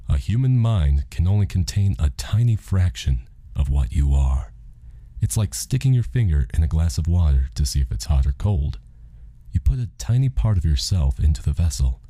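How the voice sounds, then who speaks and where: Audiobook God, played by a male narrator: extremely low, dark, husky, unruffled, deadpan and reassuring. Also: no room sound, exaggerated voice. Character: matter-of-fact male God, calm, casual and reassuring. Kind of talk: audiobook character dialogue.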